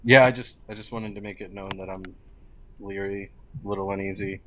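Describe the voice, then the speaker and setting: A man's voice: fairly low pitch, steady tone, a little fast. A man taking part in a role-play game as a player, chatting casually over a lo-fi voice-chat mic. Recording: dull noisy lo-fi voice-chat mic.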